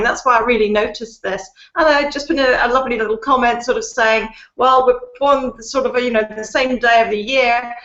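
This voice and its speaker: A woman's voice: fairly high pitch, a bit bright, reverberant, muffled recording. A middle-aged woman from the UK, giving a chatty, upbeat testimonial over a video call from her living room. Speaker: guest. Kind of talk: casual testimonial.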